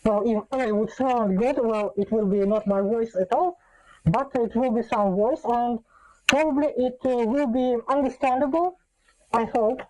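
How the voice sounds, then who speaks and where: Female presenter, fairly high but soft-edged voice, halting and informal, as in an off-the-cuff tech demo. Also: poor audio. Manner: hesitant, halting delivery with frequent long filler pauses. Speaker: host demonstrating an electronic gadget. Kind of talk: casual unscripted tech demonstration.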